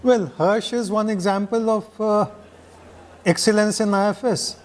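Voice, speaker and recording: A man's voice: high voice, steady tone, a little slow. An older man, a former ambassador joining a live news interview, speaking in an encouraging, plain-spoken way.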